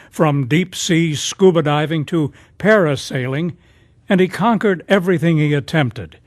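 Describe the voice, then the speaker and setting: A man's voice: on the high side, no room sound. A man narrating as the announcer of a radio drama program in a scripted style.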